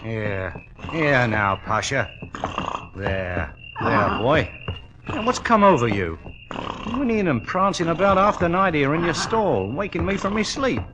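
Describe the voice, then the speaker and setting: Noisy-radio honest English jockey, highish voice. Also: male voice, very animated, unhurried, dull audio. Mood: soothing. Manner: earnest, colloquial. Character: honest working-class English jockey, loyal, plain-spoken. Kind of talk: 1940s radio acting. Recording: very noisy old radio recording.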